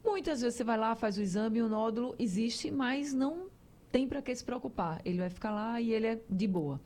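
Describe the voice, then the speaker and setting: A woman's voice: a little high, animated, a little fast, darkish tone. Chatty woman hosting a radio health show. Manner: chatty, explanatory, talks to listeners directly. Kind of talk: conversational radio talk.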